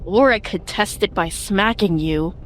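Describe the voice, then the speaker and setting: A woman's voice: mid-range voice, a little slow, a bit bright, a little hiss or hum, lightly acted. A young woman voicing a worried, take-charge leader of a group on the run in a webtoon fan dub. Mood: firm, commanding.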